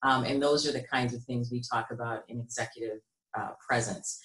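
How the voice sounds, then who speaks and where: Middle-aged American woman, rich deep register, measured interview talk, deliberate phrasing, roomy video call sound. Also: steady tone, a bit bright. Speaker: guest, business consultant. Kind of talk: conversational interview, explaining her work. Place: small room indoors at home or office. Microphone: computer mic on a video call.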